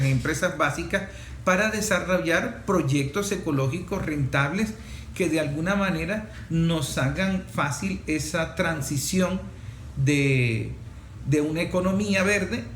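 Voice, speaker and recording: A man with a Venezuelan accent hosting a talk show, speaking off the cuff and haltingly as he repeats and expands his phrases. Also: fairly high pitch. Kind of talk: unscripted opinion commentary and guest introduction. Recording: echoey room with faint background noise.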